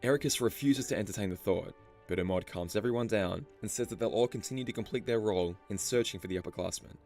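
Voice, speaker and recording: Gravelly low-voiced male narrator over music. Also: fast, darkish tone. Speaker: video narrator. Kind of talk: scripted story explainer narration. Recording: music behind the voice. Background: background music.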